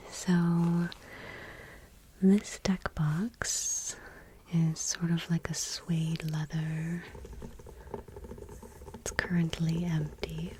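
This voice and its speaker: Slow, whispering woman doing soft ASMR. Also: lowish voice, slight background noise, rough recording. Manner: gentle, unhurried whispering. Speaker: ASMR creator and host. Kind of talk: soft-spoken ASMR, whispered product showcase.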